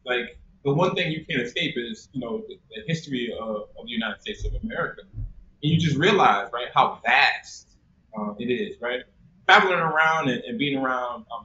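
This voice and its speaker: A young man from South Carolina, a talkative guest who laughs often and fills his speech with ums, heard over a video call. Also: medium pitch. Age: young adult. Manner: chatty and animated, laughs often, many fillers. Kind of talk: conversational interview. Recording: echoey room, muffled audio, faint noise.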